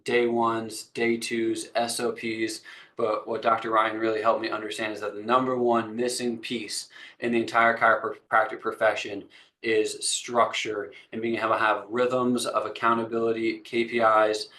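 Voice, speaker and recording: Man, webinar host, low flat voice, bright tone, enthusiastic intro. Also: moderate pace. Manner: enthusiastic, repetitive. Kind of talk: guest introduction.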